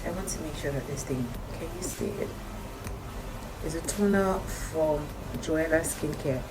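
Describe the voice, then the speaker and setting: A woman with a croaky morning voice, chatting to camera in a skincare vlog and repeating her advice for emphasis. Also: sits low, a little slow, very noisy. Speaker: skincare vlogger. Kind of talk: casual talk to camera.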